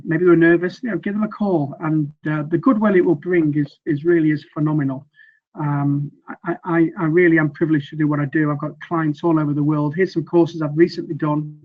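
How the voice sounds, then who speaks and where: A middle-aged male coach gives a slide presentation, his voice a touch high and slightly croaky. He talks earnestly and loosely, stumbling over restarts and fillers. The recording sounds dull and lo-fi. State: slightly croaky from weeks of teaching. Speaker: sales communication coach.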